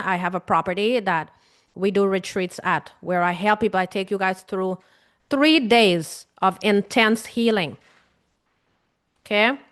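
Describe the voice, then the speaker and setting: A woman's voice: medium pitch, fairly bright tone. A woman with a Guyanese accent, an emotional healing coach, speaking earnestly and casually straight to her viewers, repeating points and asking them questions. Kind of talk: casual direct-to-camera talk.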